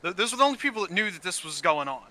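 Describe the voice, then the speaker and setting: A man's voice: high voice, sing-song, racing, sharp tone. A man in casual, heated gaming chat, swearing often and repeating words as he gets his point out. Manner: frequent swearing, heated and opinionated. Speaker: gaming channel co-host. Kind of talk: casual gameplay chat about story.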